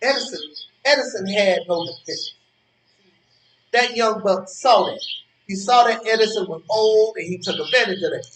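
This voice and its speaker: An actor plays an argumentative old man with a high voice in a stage play. He stammers and hammers his points by repeating them. The recording is roomy and distant, with some noise behind it. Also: normal speed, fairly bright tone. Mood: grave, insistent. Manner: stammering, repetitive, emphatic, argumentative. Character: cranky, worried old man bickering with his friend. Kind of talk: live stage play dialogue.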